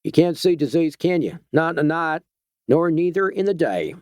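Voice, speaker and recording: A man's voice: a little high, big pitch swings, normal speed, a little mellow, no room sound, clean studio sound, voice pushed up. A man voicing a patient, menacing rural male vigilante with a twangy Georgia accent, in an audiobook. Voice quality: twangy, drawling, sniffing.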